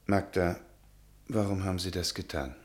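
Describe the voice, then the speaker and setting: An actor playing a naive, earnest, bookish gentleman who is easily flustered, in a German radio play. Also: low voice, fairly even intonation, moderate pace, slight background noise, close to an everyday voice. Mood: hurt, bewildered. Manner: pedantic, pleading, bewildered.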